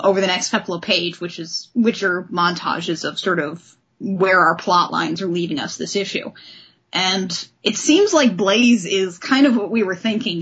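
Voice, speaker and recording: Chatty woman podcaster with a slightly husky voice. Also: on the low side, muffled recording. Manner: chatty, enthusiastic. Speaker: podcast host. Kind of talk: casual comic book discussion.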